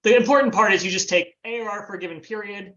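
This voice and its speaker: A man walks through a financial model over a video call. His voice is bright and crisp, and his delivery is measured and explanatory, with frequent "um"s and "sort of"s. The call audio is dull and lo-fi. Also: sits high. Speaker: former finance planning head, guest.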